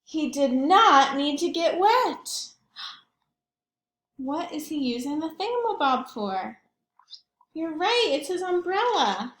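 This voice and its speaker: A woman's voice: high voice, a bit bright, roomy sound. A woman reading picture books aloud to young children, expressively and with questions for her listeners. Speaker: children's read-aloud host, teacher or librarian.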